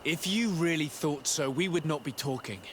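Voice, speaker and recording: A man's voice: fairly high pitch, moderate pace, a bit bright, slight background noise, close to an everyday voice. A man playing a stern noble legate. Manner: formal, commanding. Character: authoritative male noble legate, composed. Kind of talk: video game voice acting.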